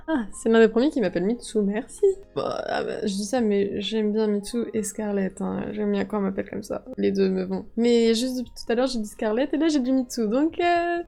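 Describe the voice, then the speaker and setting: A woman's voice: on the high side, creaky, mellow. A woman content creator reading messages aloud and reacting in a chatty, amused way, swearing casually now and then. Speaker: video creator.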